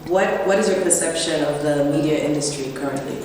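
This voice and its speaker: A woman's voice: sits low, fairly quick, background noise. A woman interviewer asking short questions with a few hesitant fillers. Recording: distant, very echoey room with music.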